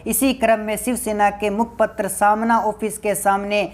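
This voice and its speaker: A woman's voice: medium pitch, flat delivery, moderate pace. Woman narrating scripted political news commentary.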